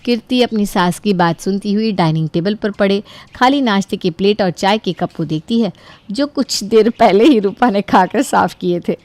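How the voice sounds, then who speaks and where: A woman's voice: medium pitch, normal speed, a little mellow, dry recording. Female story narrator, dry close sound. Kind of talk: scripted storytelling narration for animated family drama.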